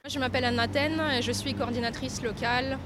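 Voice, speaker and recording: A woman's voice: a little high, steady tone, fairly quick, noisy background. A woman coordinator answering interview questions hesitantly, with restarts and fillers. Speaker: exhibition coordinator.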